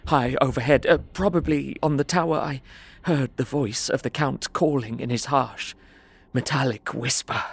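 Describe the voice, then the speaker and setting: A man's voice: a little high, gravelly, breathy edge. A man playing a frightened, despairing young prisoner who narrates his journal earnestly and intensely in an audio drama.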